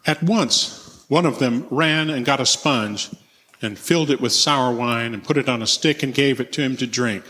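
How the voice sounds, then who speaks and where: A man reading scripture solemnly as narrator in a church service, phrase by measured phrase. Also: mid-range voice, sharp tone. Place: large reverberant church. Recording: long hall reverb.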